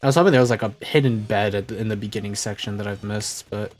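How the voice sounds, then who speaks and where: A young man chatting casually as a streamer, rambling and correcting himself, often trailing off quietly. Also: on the low side, fast talker, faint music behind. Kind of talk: casual rambling chat while gaming.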